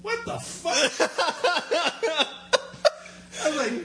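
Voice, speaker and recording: Animated man in an echoey room. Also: very slow. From Vietnam. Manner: animated storyteller, breaks into laughter, jumps to a high pitch in disbelief. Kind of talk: casual conversation. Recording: roomy sound with noticeable hum.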